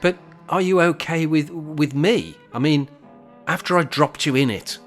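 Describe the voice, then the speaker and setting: A man acting in an amateur radio play as a sentimental older widower, speaking in an earnest, halting, reflective way. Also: a little high, faint music behind, close to an everyday voice. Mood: anxious, apologetic. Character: sentimental, guilt-ridden older widower in a care home.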